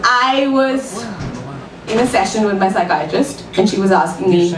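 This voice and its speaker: A woman's voice: medium pitch, normal speed, a crowd in the background. A woman from India in her thirties with an Indian accent, doing a self-deprecating stand-up set with ums and little laughs on a small club stage. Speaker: stand-up comic at an open mic. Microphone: mic on a stand, filmed from the room. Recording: echoey club room, voice from far off.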